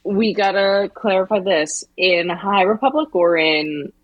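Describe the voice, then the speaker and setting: A woman's voice: medium pitch, unhurried, lo-fi sound. A woman co-hosting a podcast in casual, conversational discussion. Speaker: podcast co-host.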